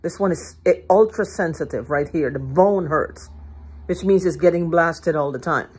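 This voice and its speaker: An older woman ranting to her phone camera in a living room, heated, profane and repetitive, with a hoarse throat. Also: sits low, normal speed, a little mellow. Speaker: vlogger. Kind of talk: agitated rambling monologue to camera. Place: living room at home, indoors. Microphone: phone held at arm's length.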